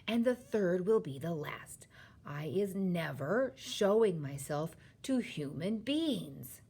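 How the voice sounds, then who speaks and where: This is a woman performing a gentle old giant from a children's storybook. The voice is husky and slow, with a gloomy, stubborn temper. The giant speaks in muddled folksy grammar full of invented words. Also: lowish voice, lively intonation, exaggerated voice. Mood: weary, firm. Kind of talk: children's storybook character reading.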